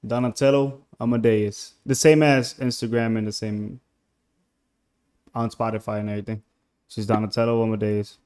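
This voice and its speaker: A young man from Puerto Rico with a Puerto Rican accent and Spanish as his first language, a musician speaking hesitantly and softly in a casual interview over a call, often trailing off mid-thought. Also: on the low side, little pitch movement, moderate pace, smooth. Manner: hesitant, soft-spoken, trailing off. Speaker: musician guest.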